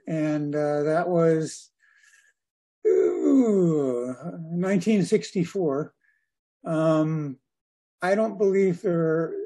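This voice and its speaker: An older man in his seventies gives an informal presentation on a video call. His voice is soft-edged and mellow, pitched a little higher than average. He talks in a measured, hesitant way, pausing as he goes. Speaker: guest speaker at a radio club.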